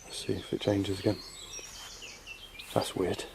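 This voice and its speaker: A man's voice: deep voice, flat delivery, unhurried, vocal fry. A man hosting a paranormal investigation, talking casually as he explores and calls out questions. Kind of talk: casual on-location ghost-hunt commentary, calling out questions. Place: outdoors in a rural spot. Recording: noisy outdoor location sound. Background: birds and outdoor ambience.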